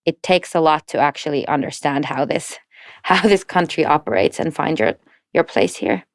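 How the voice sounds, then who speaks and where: A woman's voice: deep voice, lively intonation, normal speed, dry recording, studio quality. A woman talking as a podcast guest, fluent and explanatory, with light laughs. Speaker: podcast guest, entrepreneurship network representative. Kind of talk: casual podcast conversation.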